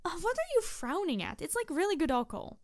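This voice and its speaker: A woman voicing an excitable, playful young tanuki spirit in a roleplay audio, rambling and stammering as she talks to the listener. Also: very high voice, quick pace, no room sound, studio quality, pitched above the performer's own voice. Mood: playful, teasing.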